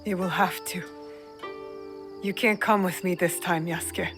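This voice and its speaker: A woman's voice: lowish voice, steady tone, a little slow, close to an everyday voice. A woman playing a determined, guarded young female shinobi in a video game cutscene, speaking with earnest intensity. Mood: vulnerable. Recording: long hall reverb, music behind.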